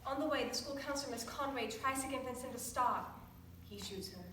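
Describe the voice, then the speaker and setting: A woman's voice: sits high, brisk, natural voice. A woman acting a solemn, factual, subdued narrator in a scripted stage production. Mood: grave, subdued. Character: solemn narrator reading an incident timeline. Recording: distant mic, echoey, noisy.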